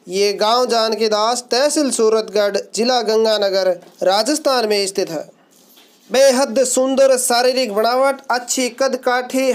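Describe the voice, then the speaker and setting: A man, hosting his channel and presenting an animal for sale in a steady voice-over, listing its good points one after another in salesman patter. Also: very high-pitched.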